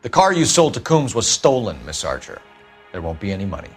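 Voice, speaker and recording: An actor playing a stern, by-the-book police detective in a video game, clipped, formal and authoritative. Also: male voice, fairly low pitch, huge pitch swings, a little fast, faint music behind. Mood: stern, pressing. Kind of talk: scripted video game dialogue.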